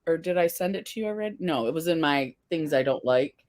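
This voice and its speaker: A woman's voice: low-pitched, lively intonation, fast talker, darkish tone, dry recording, studio quality. A woman hosting a casual livestream, chatting and reading viewer comments aloud. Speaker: livestream face paint host.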